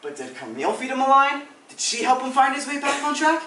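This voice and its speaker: A man's voice: very high-pitched, sing-song, brisk, pushed and bright, voice pushed up, full character voice. A young man performing a panicky, melodramatic stage actor who pleads theatrically in a comic monologue. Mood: indignant, dramatic. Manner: theatrical, overwrought, exclamatory. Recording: roomy, distant mic, some noise.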